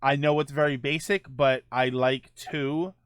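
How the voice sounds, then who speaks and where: A man's voice: mid-range voice, steady tone, a little slow, fairly bright tone, clear, resonant tone, no room sound, clean studio sound. A man chatting casually as host of a live stream, rambling and joking as he answers viewers.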